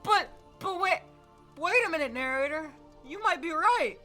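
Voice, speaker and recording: Excitable female comic podcast character, played by a woman: dramatic rambler, bright high voice, lively intonation. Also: moderate pace, soft background music, exaggerated voice. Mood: stunned. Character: excitable, scatterbrained female podcast character ranting about immortality.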